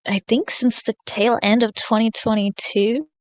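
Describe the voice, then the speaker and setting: A woman's voice: fairly high pitch, fairly even intonation, moderate pace, no echo. A woman who writes scripts, speaking casually as a podcast guest. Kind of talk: casual self-introduction.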